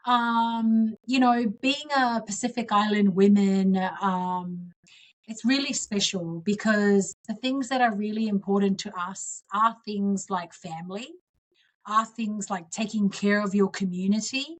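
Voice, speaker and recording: A woman's voice: mid-range voice, unhurried. A woman in her forties, originally from Samoa, answering interview questions earnestly and reflectively as a guest politician.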